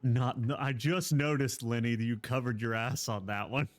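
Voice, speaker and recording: A man's voice: mid-range voice, fairly even intonation, moderate pace. A man from Texas chatting casually on a live game stream, rambling and self-deprecating with frequent chuckles. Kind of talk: casual chat while gaming.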